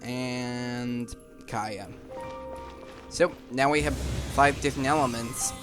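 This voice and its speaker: A man's voice: medium pitch, fairly even intonation, takes it slowly. A man giving casual gameplay commentary, rambling and thinking aloud as he hosts. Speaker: gaming video host. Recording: game music behind the voice. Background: video game music.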